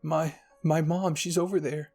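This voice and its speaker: A man voicing a stubborn, excitable young boy in an anime fan story, emphatic and argumentative. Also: on the high side, measured pace, vocal fry, faint music behind. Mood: alarmed.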